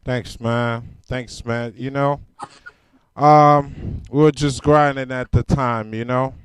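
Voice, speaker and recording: A man hosting a podcast who talks slowly and in a laid-back way. His voice is smooth and resonant. The sound is dry, with almost no room echo. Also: mid-range voice, steady tone. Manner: unhurried, friendly, laid-back. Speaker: podcast host. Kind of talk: casual interview.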